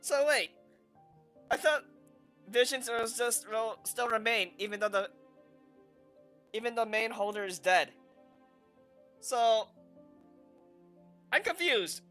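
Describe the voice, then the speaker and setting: Bright-voiced, chatty gameplay commentator. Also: mid-range voice, expressive, slow pace, long pauses, soft background music. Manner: chatty, musing to self. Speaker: gamer commentator.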